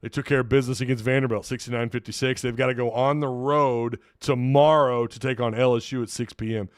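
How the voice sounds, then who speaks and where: A man talks through a late-night game recap, worn out and losing his voice from yelling earlier. He rambles and restarts his sentences. His voice stays smooth, and the sound is dry and close to the mic. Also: medium pitch, steady tone, brisk, no echo. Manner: rambling, restarts often, tired and loose. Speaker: sports podcast host. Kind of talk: casual solo recap talk.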